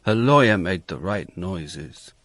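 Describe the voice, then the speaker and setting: An actor playing a blunt, worldly police inspector in a radio drama, brusque, commanding and wry. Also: male voice, low-pitched, lively intonation, slow, fairly bright tone, dry recording, heavily acted. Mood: dry, reflective. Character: blunt, worldly Dutch police inspector, narrating his case. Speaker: actor and narrator.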